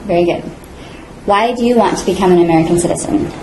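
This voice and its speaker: A woman's voice: on the low side, quick pace, noisy background. Friendly woman officer in an echoey room. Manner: friendly, polite questioning. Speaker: interviewing officer in a mock interview. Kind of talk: scripted role-play.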